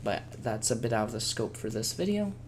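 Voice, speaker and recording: A man presenting a casual step-by-step software tutorial as a screencast voice-over. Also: medium pitch, sing-song, fairly quick. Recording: faint background noise and keyboard typing.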